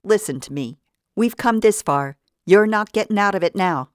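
A woman narrator voicing Vida, a vengeful murderous woman who shouts and shrieks. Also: lively intonation, normal speed, no room sound, clean studio sound, natural voice. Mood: furious. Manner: shrill, furious, shouting.

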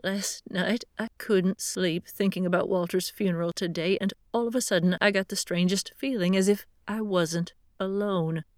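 A woman voicing a grieving older widow who speaks quickly and earnestly through tears. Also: mid-range voice, fairly even intonation, dry recording, studio quality, close to an everyday voice. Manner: quick, earnest, tearful questioning.

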